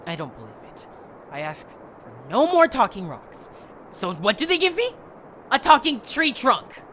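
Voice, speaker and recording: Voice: mid-range voice, huge pitch swings, measured pace. A performer voicing an apathetic young male creature, a sarcastic, whiny brother in an amateur audio drama. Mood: exasperated, sarcastic. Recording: noticeable background noise.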